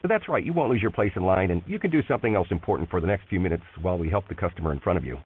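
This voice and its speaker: Brisk male phone-menu announcer, tinny line. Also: deep voice, expressive, a little hiss or hum. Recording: muffled, tinny telephone line.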